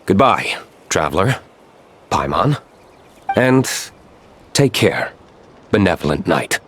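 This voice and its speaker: A man's voice: sits low, very animated, slow, a bit bright, a little hiss or hum, heavily acted. A man voicing a cool, curt, reserved young nobleman in a game cutscene. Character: cool aloof male nobleman, winery owner.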